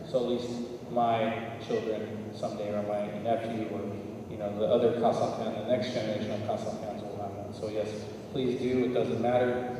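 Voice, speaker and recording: A man speaks on a panel in a low, flat voice, heartfelt and reflective. He sounds distant in a reverberant hall, with steady noise behind him. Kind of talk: panel answer. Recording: echoey hall, distant mic, noisy.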